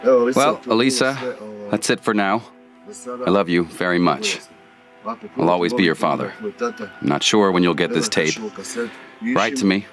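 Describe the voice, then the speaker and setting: A man's voice: deep voice, measured pace, pauses often, music in the background. A man recording a tender, affectionate farewell message to his daughter on a home tape. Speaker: father speaking on a home tape. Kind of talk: personal taped message.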